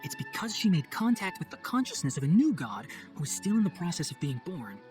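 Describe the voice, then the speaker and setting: A young forest scholar in a game cutscene, calm and pragmatic. His speech is articulate and thoughtful with lively melody, over faint music. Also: on the high side, a little fast. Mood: serious. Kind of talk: video game cutscene voice acting.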